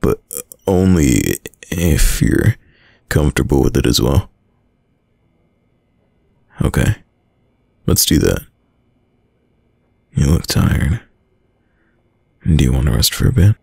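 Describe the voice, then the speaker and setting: Very deep, gravelly shy boyfriend played by a man. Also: lively intonation, takes it slowly, pauses often, darkish tone, dry recording, studio quality, full character voice. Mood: shy, tender. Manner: hesitant, stammering, nervous chuckles. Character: shy, smitten young male student gamer, a caring crush. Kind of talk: intimate ASMR boyfriend roleplay.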